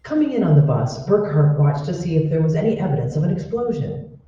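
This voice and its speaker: A man's voice: on the high side, big pitch swings, fairly quick, dark tone. A man narrating a scripted old-time radio drama. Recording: very echoey hall, distant mic, muffled.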